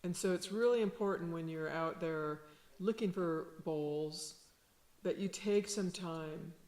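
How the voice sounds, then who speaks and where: A woman with a low, mellow voice talks slowly and gently, teaching as a sound healer. Her words are calm and reflective. The recording carries a roomy echo. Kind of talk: slow calm instructional talk.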